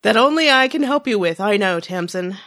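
A woman's voice: medium pitch, expressive, brisk, fairly bright tone, no echo, studio quality. A woman reading a reluctant, exasperated woman doctor with curt replies, in an amateur fan fiction table read. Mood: grudging.

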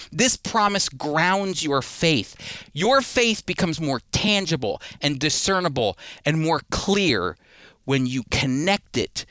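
A man's voice: fairly high pitch, bright, forceful tone, dry recording. A man preaching as a Bible teacher, emphatic, posing rhetorical questions and repeating phrases for weight. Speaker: Bible teacher and series host. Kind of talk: sermon, expository preaching.

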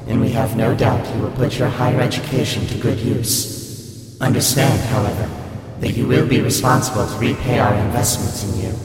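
A cold and imperious hive mind played by a man speaks in measured, formal pronouncements. The voice rings through a vast, long reverb, with loud music underneath. It is audio fiction, delivered with detached authority. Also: medium pitch. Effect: heavy cavernous reverb. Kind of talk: audio fiction character dialogue.

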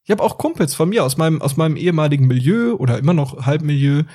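A man's voice: fairly high pitch, expressive, fast talker, mellow. A man co-hosting a casual podcast, explaining things in a loose, slangy, rambling way. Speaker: podcast co-host.

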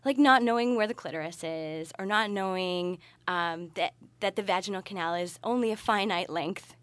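A woman's voice: mid-range voice, normal speed, a bit bright, no echo. A woman answering interview questions as a podcast guest, explaining things thoughtfully in a conversational way. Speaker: podcast guest, researcher and party presenter.

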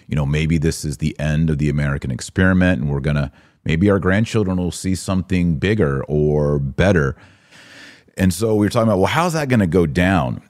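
Guy doing casual live podcast commentary, deep voice, no room echo, explains stuff. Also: a little mellow, clean studio sound. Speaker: podcast host.

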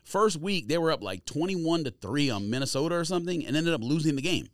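A man hosting a casual sports chat with very lively, swinging intonation. He talks through matchups and picks conversationally, recorded dry with no room sound. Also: fairly high pitch, fast, no room sound. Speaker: sports podcast host.

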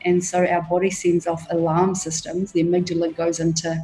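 A middle-aged woman with a New Zealand accent speaks with a fairly low voice. She talks frankly and emphatically, pushing her points like a motivational coach. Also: monotone, brisk, warm tone, rough recording. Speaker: leadership coach, guest. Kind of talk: interview answers.

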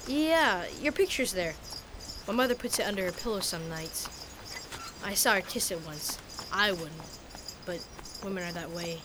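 A woman's voice: on the low side, expressive, moderate pace, pauses often, noisy background, close to an everyday voice. A performer playing a blunt, cheeky frontier boy with unschooled American country talk in a Western radio drama. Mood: curious.

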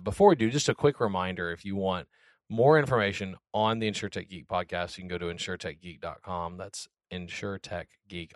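A man hosting a podcast, chatting in a relaxed, casual way with plenty of filler words. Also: low-pitched, no echo, studio quality. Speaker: podcast host. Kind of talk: casual interview chat.

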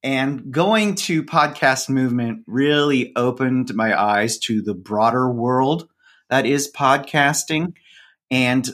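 A man with a medium-pitched voice talks as a guest on a podcast. His delivery is conversational and measured, as he explains things in a casual interview style. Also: fairly bright tone.

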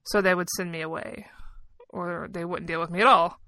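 A woman's voice: fairly low pitch, steady tone, a little fast, pushed and bright, no echo. A woman in her thirties chatting casually as a podcast co-host, telling personal stories in a candid, informal, self-deprecating way. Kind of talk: casual personal storytelling and chat.

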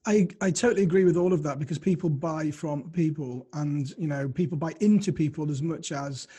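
A man's voice: fairly high pitch, brisk, warm tone, breathy edge. A man interviewing a podcast guest in a casual, polite and considerate way.

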